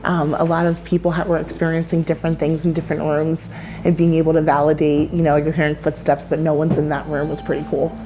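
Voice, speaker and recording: A woman reflecting in a measured way on watching an investigation unfold. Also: sits low, flat delivery, slight background noise.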